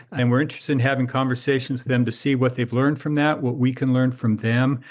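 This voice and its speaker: A man hosts a podcast interview. His voice sits at an everyday middle pitch. He frames his questions in a friendly, measured way. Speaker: podcast host.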